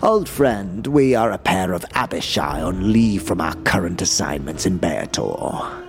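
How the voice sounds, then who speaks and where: An actor playing a smug, theatrical fiend who speaks in florid, grandiose, formal phrases with drawn-out words. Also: male voice, deep voice, very animated, gravelly, faint music behind, full character voice. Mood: grandly courteous. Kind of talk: audio drama acting.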